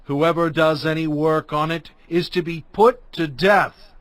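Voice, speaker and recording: An actor playing Moses, a solemn prophet and leader, declaiming commands phrase by phrase in a dramatized scripture reading. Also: male voice, a little high, fairly even intonation, measured pace, a bit bright, dry recording. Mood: solemn, commanding.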